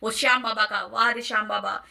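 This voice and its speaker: Woman vlogger, high sharp voice, heated commentary, insistent n accusing, talks right at the listeners. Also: fairly even intonation, brisk, gravelly. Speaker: vlogger presenting call recordings.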